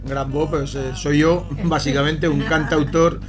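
A man's voice: on the high side, steady tone, unhurried, over music. A man with an accent from Spain, a singer-songwriter guest talking in a casual, joking way. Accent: Spanish. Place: radio studio.

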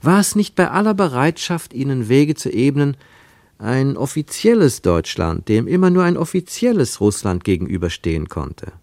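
A man narrating a literary radio play in a reflective, rhetorical manner with deliberate emphasis. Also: medium pitch, very animated, vocal fry, darkish tone, no room sound, studio quality. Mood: reflective, questioning. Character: reflective literary narrator of a postwar travel story.

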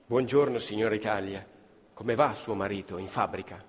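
An actor playing a courteous, quiet shopkeeper in radio play dialogue, speaking softly, briefly and politely. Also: male voice, on the low side, moderate pace, pitched below the performer's own voice, close to an everyday voice. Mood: polite, subdued. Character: courteous, quiet male shopkeeper serving a customer. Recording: reverberant hall with live music.

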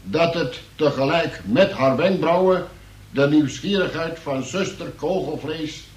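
A man playing a wry arrested man, narrating a radio play as a formal statement. His voice is on the high side and deliberate, heard a little distant from the mic in a very roomy recording. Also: natural voice. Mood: wry, mock-formal. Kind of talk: scripted first-person radio play narration.

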